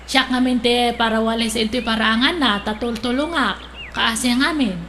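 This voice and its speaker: A sharp-tongued young woman, played by an actress, grumbles and argues in a radio comedy. Her voice sits high and bright over light noise. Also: moderate pace. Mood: grumbling. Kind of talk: Ilocano radio drama acting.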